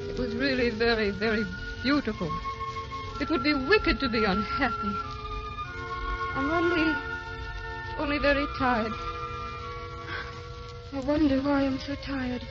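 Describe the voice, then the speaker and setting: A tender, serene grandmother speaks very slowly in a high, wistful voice. She sounds weary and content, in an old radio play with music behind her. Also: lo-fi sound. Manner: slow, wistful, repeating phrases. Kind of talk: 1930s-40s radio drama acting.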